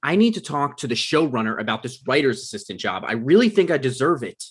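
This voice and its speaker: Male actor as self-important office striver, defensive, argumentative, dry, medium-pitched, comedy table read. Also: sing-song, quick pace, breathy edge. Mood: needy, frustrated.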